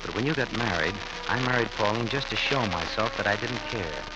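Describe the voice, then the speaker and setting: An actor playing a weary, regretful young American husband in a 1940s radio drama, on an old noisy recording. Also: male voice, on the low side, expressive, brisk, loud music behind the voice, lightly acted. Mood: regretful, resigned.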